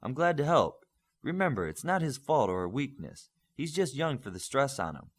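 A confident superhero team mentor, read by a male narrator in an audiobook. He advises in a measured, reassuring manner at an ordinary male pitch with varied inflection. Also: sing-song, breathy edge.

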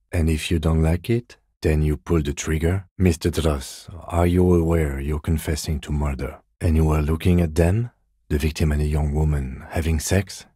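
A middle-aged police detective in a video game, with an extremely low male voice. He is composed and precise, choosing his words with restraint. The sound is dry and close. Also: dark tone, husky, no echo. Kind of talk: video game voice acting, understated dialogue.

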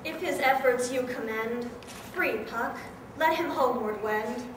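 A woman's voice: fairly high pitch, measured pace, close to an everyday voice. A woman playing a gleeful, mischievous trickster spirit who speaks in theatrical rhymes in a live radio play. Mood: playful, grand. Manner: theatrical rhyming incantations. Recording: echoey distant live hall recording with noise.

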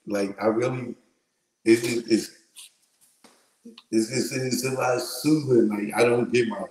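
A man's voice: medium pitch, measured pace. A middle-aged man with a big voice, hosting a casual live commentary show, talking through an earphone mic in a small room. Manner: animated, slangy, acts out quoted voices. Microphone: wired earphone mic. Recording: echoey room.

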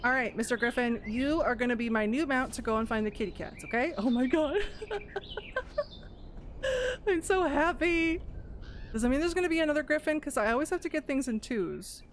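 A young woman casually commentating her Minecraft let's play, excitable and gushing over cute animals, a little hoarse. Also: sits high, a little fast, noisy background. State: slightly hoarse. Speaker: gaming YouTuber.

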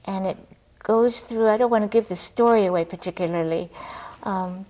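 A middle-aged woman chatting casually as an interview guest, talking in a halting way and circling back on her thoughts. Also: medium pitch, dark tone. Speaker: theater director, talk show guest. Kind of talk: casual studio interview chat. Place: TV studio, seated at a table.

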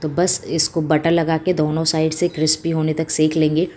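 A woman's voice: low voice, little pitch movement, moderate pace, mellow. A woman in her thirties, a home cooking host, explaining a recipe step by step in a small home kitchen, in an easy, homely way with fillers. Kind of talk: casual recipe demonstration.

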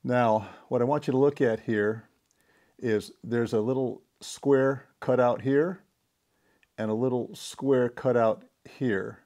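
A middle-aged man giving a casual, deliberate, step-by-step hands-on repair tutorial. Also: mid-range voice, fairly even intonation, a little mellow. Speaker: DIY repair demonstrator. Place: indoor home workshop.

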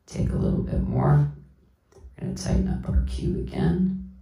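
A man's voice: a little high, wildly swooping pitch, moderate pace, creaky, dark tone. A man giving a casual hands-on tutorial, talking through each step unhurriedly as he works as a sound instructor. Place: indoor room with sound system. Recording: roomy, distant mic, PA sound.

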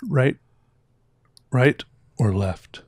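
A man's voice: medium pitch, extremely slow, a little mellow, no echo, clean studio sound. A man in his thirties with a slightly sore throat, chatting casually and rambling as he reviews viewer art on a headset mic in a small room. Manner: rambling, self-interrupting, chatty. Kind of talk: casual livestream commentary. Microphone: headset with boom mic.

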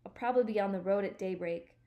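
A woman's voice: mid-range voice, fast, mellow, close to an everyday voice. A woman voicing a tiny, fussy male storybook adventurer who talks formally and with desperate, dejected drama. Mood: stiffly polite. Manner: trembling, desperate, formal phrasing.

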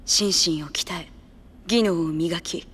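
A woman's voice: fairly low pitch, slow pace, fairly bright tone, a little hiss or hum. Serious young officer guy, formal, reverby. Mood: solemn. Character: earnest young male officer, vengeful.

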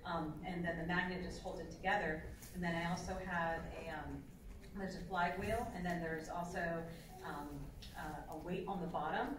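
A woman's voice: lowish voice, steady tone, normal speed, background noise. A woman droid builder speaking on a convention panel, explaining in detail with lots of fillers. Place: large convention hall panel room. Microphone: table mic recorded by a far camera in the audience. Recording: echoey hall from far off.

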